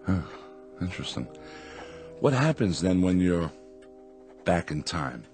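A man playing a calm, deep-voiced psychiatrist. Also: full character voice. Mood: probing. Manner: calm, measured, probing. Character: calm, measured male psychiatrist. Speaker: doctor. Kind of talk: radio drama acting. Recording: radio play with music.

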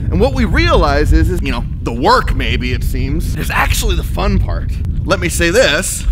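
Male vlogger, thirties, lively and fairly high voice, earnest motivational delivery over music. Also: low-quality recording. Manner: earnest, encouraging. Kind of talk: motivational vlog talk to camera. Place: outdoors on a snowy open prairie and inside a car. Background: background music.

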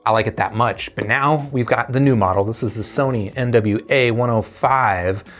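A man in his thirties giving a casual, conversational tech review to camera, explaining as he goes. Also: medium pitch. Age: 30s. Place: indoor home studio with desk. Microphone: lavalier lapel mic.

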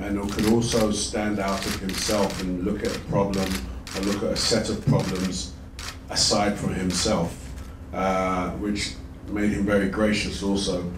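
A man speaking as a lead actor at a press conference, measured and reflective, with many fillers, holding a handheld mic. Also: deep voice. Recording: roomy, from a distance, noisy room.

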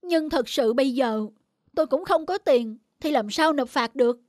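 A woman's voice: sits high, normal speed, darkish tone, dry recording, studio quality, lightly acted. A woman voicing an anxious middle-aged cartoon delivery man with a northern Vietnamese accent, stammering, apologetic and imploring. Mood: desperate. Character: anxious, pleading middle-aged male pizza delivery driver. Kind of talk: cartoon dubbing. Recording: music under the dialogue.

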